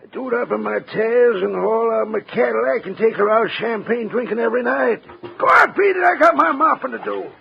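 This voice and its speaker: A gravelly, high-pitched cranky old janitor. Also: male voice, lively intonation, brisk, exaggerated voice. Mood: sarcastic. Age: older. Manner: grumbling, sarcastic, slangy. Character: grizzled, cranky, sarcastic old janitor mopping floors. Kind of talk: 1950s radio drama acting. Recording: dull, noisy vintage radio recording.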